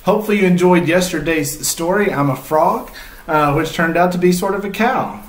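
A man's voice: sits high, normal speed. A man in his thirties hosting a children's read-aloud with friendly, casual talk, recorded indoors. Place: small living room, indoors. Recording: roomy indoor echo.

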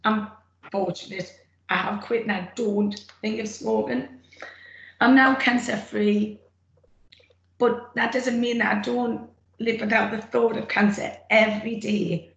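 A middle-aged woman with a rough, gravelly throat, giving an earnest, plain-spoken personal testimony from her notes on a video call. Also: mid-range voice, fairly even intonation, measured pace, highs cut off. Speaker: former smoker speaking at a roundtable. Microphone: computer mic on a video call. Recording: echoey room, faint noise.

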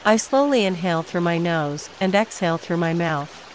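Female meditation narrator, lowish register, measured scripted affirmations, noisy ambient bed with trickling water.

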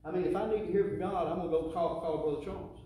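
A man's voice: a little high, fairly even intonation, very fast, dark tone. A middle-aged man with a Southern US accent preaching a sermon in a folksy, emphatic way, repeating phrases and calling on the congregation to respond. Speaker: pastor preaching to a church congregation. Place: church sanctuary. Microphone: handheld mic through PA, filmed from the pews. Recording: echoey church PA, distant, faint noise.

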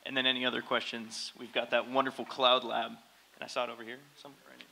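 A man's voice: medium pitch, flat delivery, fast talker, vocal fry, pushed and bright, low-quality recording. A man moderating a question session at a conference in an informal style. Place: conference hall.

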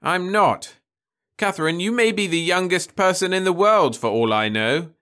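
A man's voice: high-pitched, expressive, normal speed, fairly bright tone, pitched above the performer's own voice. A firm, insistent middle-aged mother, voiced by a man in a read-aloud story. She speaks in a raised voice that rises and falls with feeling, earnest and unbending. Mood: urgent.

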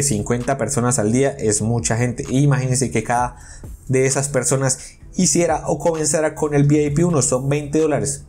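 A man with a somewhat raised pitch talks over a screen recording, earnest and indignant as he warns viewers. His tone is mellow and relaxed. Quiet music runs under his voice-over. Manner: indignant, earnest, explains slowly while reading messages aloud. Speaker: YouTuber warning about an investment scam. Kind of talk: casual screen-recording commentary.

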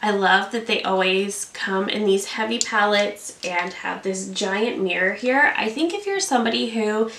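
A woman's voice: mid-range voice, unhurried, hardly any pauses, fairly bright tone, echoey room, slight background noise. A woman in her thirties with a congested cold voice, reviewing and applying makeup in a chatty, enthusiastic way. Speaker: beauty vlogger. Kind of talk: product review and makeup tutorial. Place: indoor room, seated at a plain backdrop.

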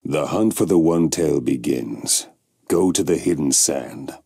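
A man voicing a stern, commanding leader of rogue ninjas in an anime video game, gravelly, terse and ominous. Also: rumbling low, a little slow, dark tone, no room sound, studio quality, exaggerated voice. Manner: terse, authoritative.

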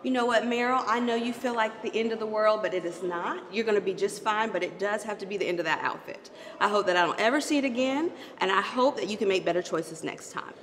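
A woman's voice: medium pitch, quick pace, few pauses. A woman playing a school administrator in scripted sketch dialogue. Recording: echoey school hall, faint noise.

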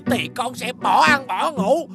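A woman voicing a spoiled, lovesick rich young man with a petulant manner in an animated fairy tale. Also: high-pitched, takes it slowly, gravelly, music in the background, full character voice. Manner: petulant, self-satisfied.

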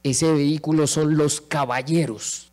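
A man's voice: fairly high pitch, fairly even intonation, measured pace, darkish tone. A middle-aged man with a Colombian accent giving an impassioned speech in a large auditorium, speaking into a handheld microphone and repeating phrases for rhetorical emphasis. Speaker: lecturer.

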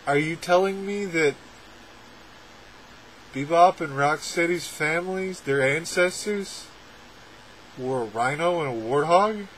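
A young adult man reviewing a film from a room at home, talking sarcastically with animated, exaggerated outbursts. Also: on the high side, slow pace, long pauses, slight background noise, low-quality recording. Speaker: movie reviewer. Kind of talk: casual ranting film review. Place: home room with packed shelves, indoors. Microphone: webcam framing at desk.

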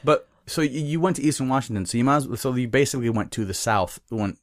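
A man's voice: mid-range voice, fast, dry recording, studio quality. A man hosting a podcast, asking short curious follow-up questions and laughing along with his guest. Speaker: podcast host. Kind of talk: casual interview conversation.

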